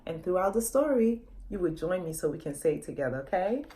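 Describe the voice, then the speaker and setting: A woman's voice: fairly low pitch, sing-song, fairly quick, dark tone. A woman author reading a children's story aloud, expressively, often addressing young listeners directly with questions.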